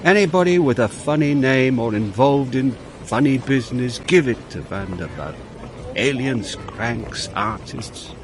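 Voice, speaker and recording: A man's voice: medium pitch, very animated, a little slow, noisy background. An actor playing a wry, world-weary police inspector who narrates his own story in a radio drama. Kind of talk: radio drama acting with first-person narration.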